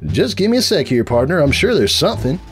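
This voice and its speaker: A man puts on a female cartoon farm pony for a comic story reading. The voice is raised high and lively, with a folksy, hesitant manner and faint music behind. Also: quick pace. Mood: doubtful.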